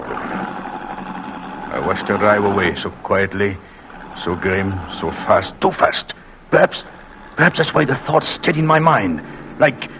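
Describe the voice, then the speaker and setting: A remorseful husband narrating. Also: male voice, mid-range voice. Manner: emotional, pleading, hushed at times. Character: remorseful European husband narrating his story. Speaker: narrator and lead. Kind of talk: 1940s radio drama narration. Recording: lo-fi old radio recording.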